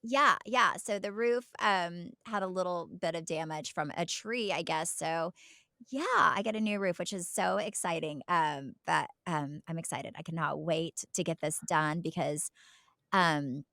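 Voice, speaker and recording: A woman's voice: lowish voice, measured pace, gravelly, sharp tone, no echo. Casual American woman podcaster, close dry sound. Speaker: podcast co-host. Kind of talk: casual chat.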